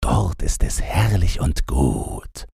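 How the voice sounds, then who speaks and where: Storybook part: a gentle reindeer, slow and hushed, dark husky tone, as a male reader voices him. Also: extremely low pitch, sing-song, dry recording, clean studio sound, exaggerated voice. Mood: dreamy.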